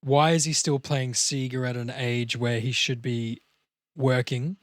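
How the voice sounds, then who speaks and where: A man's voice: mid-range voice, flat delivery, a little slow, no echo, clean studio sound. A man hosting a casual talk, explaining acting in a direct, coaching way with casual swearing. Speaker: podcast host explaining acting.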